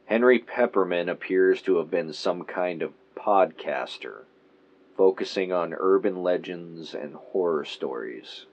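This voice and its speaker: Guy playing stiff official investigator reading a case file, real deep voice, kinda muffled, audio drama. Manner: clipped, official, impersonal. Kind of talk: audio drama narration of a report.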